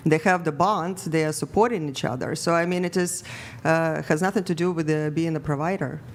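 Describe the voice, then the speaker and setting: A woman on a podcast panel explaining attachment styles slowly and carefully, with slightly nonnative phrasing. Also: low voice, steady tone. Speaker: panel guest. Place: bright studio with a large panel. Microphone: desk mic on arm.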